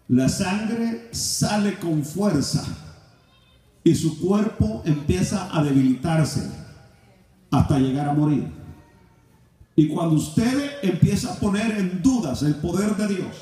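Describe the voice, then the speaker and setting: A middle-aged man preaching a sermon, shifting between shouted passages and hushed, low stretches. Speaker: pastor. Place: large church hall. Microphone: handheld mic, filmed from far off. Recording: echoey hall from far off.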